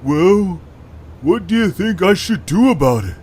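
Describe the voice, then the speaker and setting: An actor playing a gruff, dim-witted and grumpy creature guard in an audio drama. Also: male voice, high-pitched, big pitch swings, measured pace, a little mellow, slight background noise. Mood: dopey.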